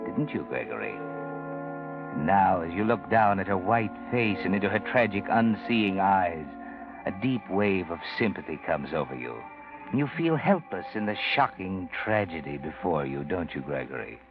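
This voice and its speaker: A low male American voice narrates an old radio mystery with taunting, insinuating menace. The delivery swings expressively, on a muffled vintage recording. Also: animated, moderate pace, over music. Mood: ominous, taunting. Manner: taunting, insinuating, addresses a character directly. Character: unseen ominous male narrator, mocking the guilty hero.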